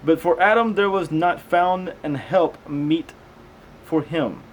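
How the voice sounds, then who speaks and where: A man with a fairly high-pitched voice reads old text aloud in a halting way, tripping on hard words. He mixes in casual, conversational asides. The amateur recording carries faint background noise. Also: low-quality recording. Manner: halting reader, stumbles over unfamiliar words. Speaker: amateur host reading aloud and commenting. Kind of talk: reading scripture aloud with casual commentary.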